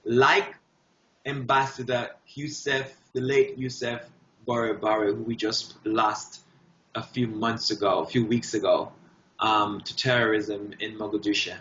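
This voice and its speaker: A man speaking as an interview guest, giving impassioned, emphatic answers in short, deliberate, declarative phrases. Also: on the low side, little pitch movement, a bit bright, a little hiss or hum. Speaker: guest artist. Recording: dull, roomy echoing sound.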